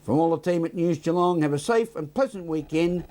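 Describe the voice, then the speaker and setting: A man's voice: fairly high pitch, a little fast, mellow. A man with an Australian accent reading scripted local news and weather as a presenter.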